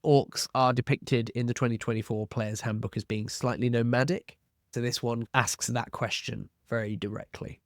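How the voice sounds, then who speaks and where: A man's voice: medium pitch, steady tone, moderate pace, no room sound. Man giving advice in a dry, close recording. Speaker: host of a tabletop roleplaying advice channel. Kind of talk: explanatory video talk to viewers, posing questions.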